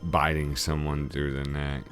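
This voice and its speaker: Very deep-voiced man in casual chat. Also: monotone, unhurried, fairly bright tone, faint music behind. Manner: laid-back, enthusiastic fan talk. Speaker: podcast co-host.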